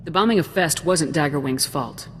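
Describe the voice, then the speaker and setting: A woman's voice: low voice, normal speed, a little hiss or hum, close to an everyday voice. A woman playing a modest, steadfast military major with a matter-of-fact manner in a video game cutscene. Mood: businesslike. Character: steadfast, modest female special forces soldier major.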